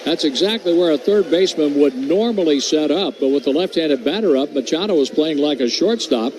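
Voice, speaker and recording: A man calling a baseball game on radio, crisply reeling off stats and calling each pitch. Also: animated, fairly quick, darkish tone, a little hiss or hum, low-quality recording. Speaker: play-by-play announcer.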